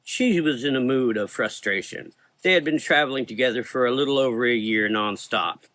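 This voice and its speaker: A man's voice: mid-range voice, fairly quick, a bit bright, no echo. A man narrating a scripted gothic horror story in a dramatic, solemn manner.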